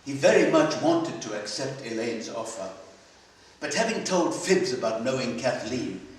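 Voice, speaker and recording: A man reading literary fiction aloud at a live event, with a measured, dramatic delivery and pauses. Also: mid-range voice, expressive. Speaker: story reader at a literary festival. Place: library hall at a live festival. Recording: echoey hall, distant microphone.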